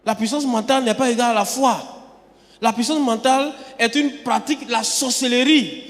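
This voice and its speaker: Male preacher, high-pitched and emphatic, restarts phrases mid-sentence; recorded with a long hall echo. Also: fairly even intonation, brisk. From Côte d'Ivoire. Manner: emphatic, repeats words and restarts often. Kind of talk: sermon. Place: church sanctuary.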